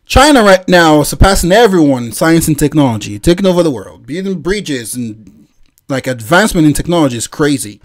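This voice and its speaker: A man hosting a reaction video, mocking what he watches with frequent laughs and exclamations into a desk microphone. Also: fairly high pitch. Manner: laughs often, exclaims, rants.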